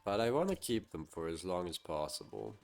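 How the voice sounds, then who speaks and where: A man's voice: deep voice, big pitch swings, a little fast, soft background music. A man streaming a game live, chatting casually to his viewers and musing aloud to himself. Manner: talks to chat and muses aloud to himself.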